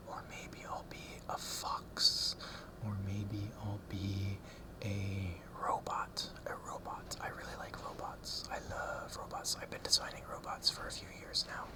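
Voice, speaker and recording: A man whispering a rambling ASMR talk close to the mic, softly repeating words as triggers, with ums and small nervous laughs. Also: deep voice. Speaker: ASMR creator. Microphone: close ASMR mic, brushed.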